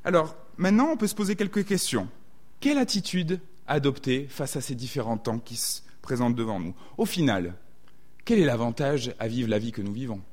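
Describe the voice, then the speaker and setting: A man's voice: mid-range voice, lively intonation, fairly quick, a little mellow. A man with a southwestern French accent preaching a sermon, thoughtful and often hesitating to reword his thoughts.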